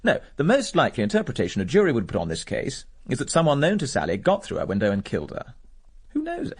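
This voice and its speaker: An actor playing a cool, cynical war veteran in a radio drama, urbane and dry. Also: male voice, mid-range voice, huge pitch swings, breathy edge. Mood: cool, wry. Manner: urbane, dry, measured logic.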